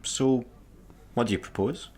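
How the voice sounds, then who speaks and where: An actor with a Scottish accent playing a daft, easygoing janitor in a sci-fi comedy radio play, casual and obtusely deadpan. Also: male voice, medium pitch, measured pace, natural voice. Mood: wry, casual. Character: daft, easygoing, literal-minded male slacker janitor.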